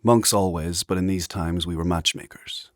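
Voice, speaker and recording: An actor playing a solemn monk who narrates his order's past in a measured, formal, reflective way for an audio drama. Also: low-pitched, steady tone, dry recording, studio quality, close to an everyday voice.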